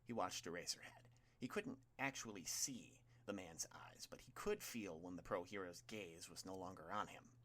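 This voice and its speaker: A man with a fairly low voice reads fiction aloud. He narrates scripted prose in the role of an audiobook reader. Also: expressive, moderate pace, a bit bright.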